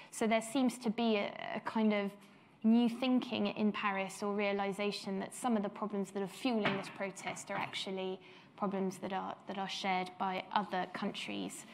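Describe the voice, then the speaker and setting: A woman's voice: mid-range voice, little pitch movement, moderate pace, slight background noise. A woman from Belgium chairing a policy panel discussion, talking with many hesitant fillers.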